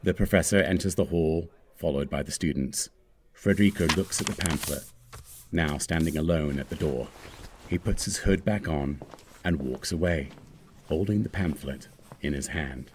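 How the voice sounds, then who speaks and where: A man's voice: extremely low pitch, normal speed, a little mellow, a little hiss or hum. A man reading screenplay stage directions as scripted narration.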